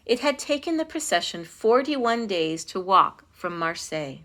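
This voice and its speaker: A woman reading a picture book aloud as a storytime host, in an expressive, dramatic style with stressed phrases. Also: mid-range voice, normal speed. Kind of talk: expressive children's read-aloud.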